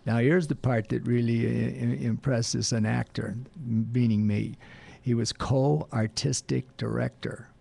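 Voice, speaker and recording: A gravelly older man rambles through a guest introduction on a radio talk show, stopping for fillers and half-finished thoughts. His pitch sits in an ordinary middle range. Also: flat delivery, unhurried, warm tone. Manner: rambling, hesitant with many fillers.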